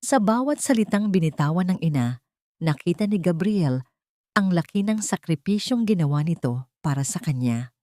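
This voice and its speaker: Low-voiced Filipino woman narrating a story. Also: animated, moderate pace, dark tone, no room sound, studio quality. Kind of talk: dramatic scripted story narration.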